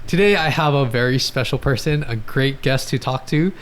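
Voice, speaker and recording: A man's voice: mid-range voice, moderate pace. A man in his thirties hosting a podcast, upbeat and eager, chatting casually with many fillers into a desk mic in a small studio. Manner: upbeat, eager, lots of fillers like "you know" and "right". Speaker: podcast host, athletic trainer. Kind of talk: casual interview chat. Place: small home studio, indoors. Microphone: podcast mic on a desk stand.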